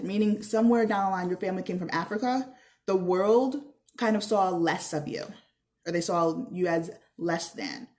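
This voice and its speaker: A woman's voice: medium pitch, darkish tone. Earnest woman teaching young listeners. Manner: earnest, encouraging, addresses young listeners directly. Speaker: teacher reading a children's book aloud. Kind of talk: educational read-aloud with explanatory asides.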